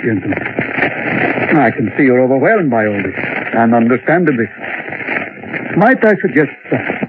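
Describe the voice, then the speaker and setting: A man playing a suave Martian who poses as a rancher in an old-time radio drama, over-polite and unhurried, with quiet menace. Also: mid-range voice, sing-song, very noisy, natural voice. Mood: gracious, controlled. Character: suave, courteous male Martian posing as ranch owner. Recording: dry, tinny old radio recording.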